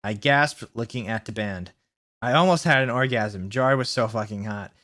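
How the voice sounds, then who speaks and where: A man hosting a comic read-aloud of fan fiction, sardonic, with mocking asides and deliberately kept mistakes. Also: fairly low pitch, a bit bright. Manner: deliberately reads out misspellings, sardonic.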